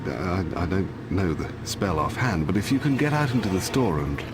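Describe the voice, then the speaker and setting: An actor playing a bookish middle-aged British mentor in a video game, proper, scholarly and a little hesitant. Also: male voice, low voice, big pitch swings, normal speed, noisy background. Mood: scholarly, flustered. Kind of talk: video game cutscene acting.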